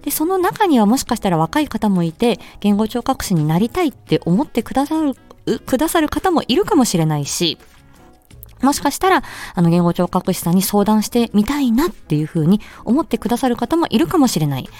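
A woman chats solo as a streamer, explaining things politely. Her tone is soft-edged and mellow, her intonation swings widely, and faint music plays underneath. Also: on the high side, sing-song, fairly quick. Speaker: streamer and speech-language therapist. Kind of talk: casual solo chat answering a listener's letter.

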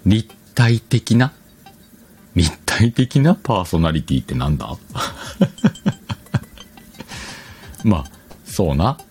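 A man's voice: sits low, very animated, slow, pauses often, a little mellow, soft background music. A man hosting a casual advice talk, explaining conversationally and questioning his own phrasing as he thinks aloud. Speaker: podcast host.

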